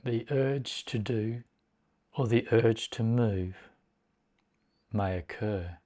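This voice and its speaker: Very slow, low-voiced man guiding meditation. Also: mellow. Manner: measured, deliberate, with long pauses between short phrases. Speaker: meditation teacher. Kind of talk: guided meditation instruction.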